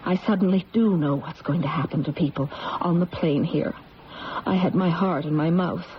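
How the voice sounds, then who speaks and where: A woman's voice: lowish voice, normal speed, close to an everyday voice. A woman acting an earnest, troubled young woman in a radio drama, with an intense, dramatic delivery. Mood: haunted. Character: earnest, troubled adopted young woman seeking her origins. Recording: muffled, noisy old radio broadcast.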